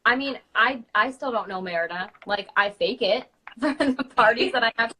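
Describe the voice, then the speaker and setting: A woman's voice: fairly high pitch, normal speed, background noise. A woman co-hosting a casual chat in an informal, agreeable, giggly way. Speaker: children's party princess performer, co-host.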